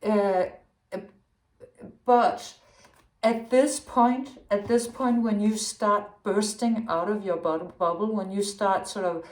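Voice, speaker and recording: A woman's voice: mid-range voice, steady tone, slow pace. Woman, tarot reader, wandering and self-correcting, echoey room. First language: Danish. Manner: rambling, searching for words with many restarts. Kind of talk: intuitive tarot reading monologue.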